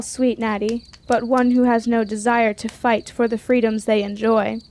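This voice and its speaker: An actress playing a proud, sharp-tongued young warrior maiden in a fantasy radio drama, speaking in formal, defiant phrases. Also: female voice, a little high, close to an everyday voice. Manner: formal, archaic, declamatory phrasing.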